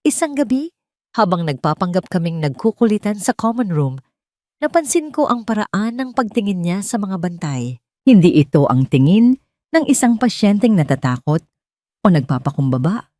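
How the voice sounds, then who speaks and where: A woman's voice: medium pitch, very animated, moderate pace, dark tone, dry recording, studio quality. A woman reading a scripted, dramatic first-person story. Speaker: story narrator.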